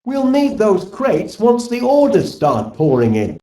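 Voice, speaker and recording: A middle-aged, absent-minded inventor from an animated comedy game, with a Northern English accent. He takes his time, and his pitch bounces up and down as he chats in a fussy, polite, cheerfully bumbling way. The recording is dry and close. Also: unhurried, dry recording, heavily acted. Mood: musing. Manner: bumbling, hesitant, polite, chatty.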